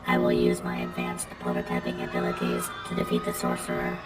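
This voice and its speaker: A man's voice: extremely low pitch, monotone, a little fast. A man playing a small, earnest robot sidekick in a tongue-in-cheek audio drama, making stilted, formal declarations. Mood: solemn, dutiful. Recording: echoing voice under loud music.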